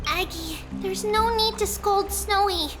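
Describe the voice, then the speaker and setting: A woman voicing a small, gentle, earnest childlike girl for a video game. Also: extremely high pitch, a little slow. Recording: reverberant, music behind.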